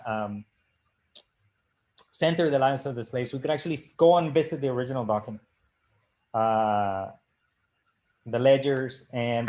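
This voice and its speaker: A middle-aged man from the Dominican Republic talks over a video call in a middle pitch. He keeps stopping to fill gaps with "uh" and restart his sentences, as a co-host in a relaxed discussion. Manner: halting, many "uh" fillers and restarts. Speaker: librarian and co-host. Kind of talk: casual live-stream discussion.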